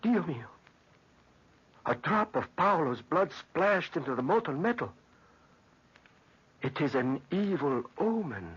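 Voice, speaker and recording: A man's voice: on the high side, very animated, takes it slowly, long pauses, breathy edge, full character voice. An actor playing a sorrowful, superstitious Italian workman full of dread, in melodramatic old-time radio style. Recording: dull lo-fi old radio recording.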